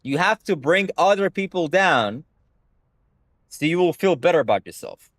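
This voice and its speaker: A man's voice: fairly high pitch, expressive, normal speed, fairly bright tone, no echo, studio quality. A young man whose first language is Hebrew, talking bluntly to his stream chat and swearing freely. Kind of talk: casual livestream rant.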